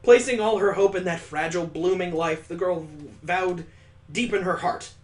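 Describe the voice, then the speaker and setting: Guy reading game text out loud, kinda high voice, keeps cracking sarcastic jokes. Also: normal speed. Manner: wry, mocking asides between dramatic readings. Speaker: let's play host.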